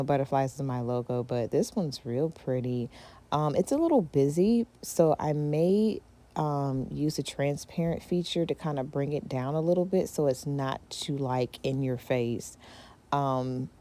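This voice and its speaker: Congested woman in a chatty craft tutorial. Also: low-pitched. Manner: chatty and relaxed, with many filler words. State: congested from a cold. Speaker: small craft business owner teaching a tutorial. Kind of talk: casual screen-recorded how-to walkthrough.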